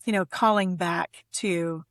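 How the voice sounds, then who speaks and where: A woman's voice: on the low side, steady tone, slow pace, no echo, clean studio sound. A woman speaking as a guest poet and editor in a literary conversation. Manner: effusive, conversational. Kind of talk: casual literary conversation with poem reading.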